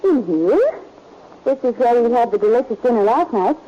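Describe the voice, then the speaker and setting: An actress playing a detective's loyal young female companion who gently chides him, in 1940s radio drama style. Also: a little high, very animated, normal speed. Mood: coaxing. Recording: noisy, muffled old radio recording.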